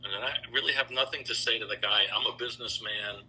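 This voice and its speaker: A man's voice: fairly low pitch, steady tone, quick pace, pushed and bright, a little hiss or hum, low-quality recording. A middle-aged man telling anecdotes conversationally as an interview guest, voicing the dialogue he recalls. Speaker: interview guest, businessman and choral bass singer.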